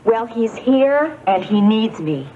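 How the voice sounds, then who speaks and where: A woman's voice: a little high, big pitch swings, takes it slowly, background noise. An actress playing a defensive, evasive woman in a soap opera, firm but flustered. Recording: muffled 1980s TV tape, loud music.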